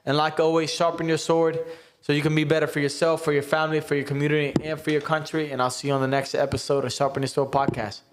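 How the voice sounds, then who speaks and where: Male American podcast host, slightly high voice, casual round-table talk, earnest and emphatic delivery. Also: level tone, fast talker. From United States. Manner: earnest, emphatic, repeatedly checks with "right?".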